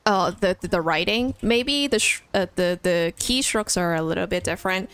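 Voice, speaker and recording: A woman's voice: on the low side, moderate pace, fairly bright tone, smooth. A young woman whose first language is Chinese, chatting casually while she streams a game, with many fillers and restarts. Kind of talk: casual chat while gaming. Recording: faint music behind.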